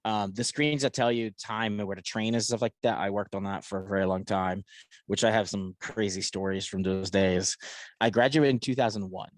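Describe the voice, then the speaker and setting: A man's voice: on the low side, fairly even intonation, normal speed, no room sound. A man in his forties from Poland with a Philadelphia accent, chatting casually as a podcast guest. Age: 40s. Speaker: podcast guest, e-commerce entrepreneur. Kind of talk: casual chat.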